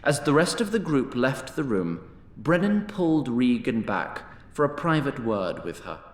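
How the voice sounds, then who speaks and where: Slow, wry male storyteller. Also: medium pitch, very animated, reverberant. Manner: wry, deliberate. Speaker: narrator. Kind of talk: scripted storybook narration of a fantasy audio drama.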